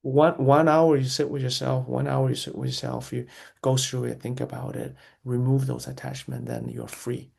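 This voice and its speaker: Guest voice: man, very gravelly and husky, earnest and reflective, circles back and repeats himself. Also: mid-range voice, level tone, moderate pace, a little mellow. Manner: earnest, repeats key phrases, frequent restarts. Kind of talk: reflective interview answers.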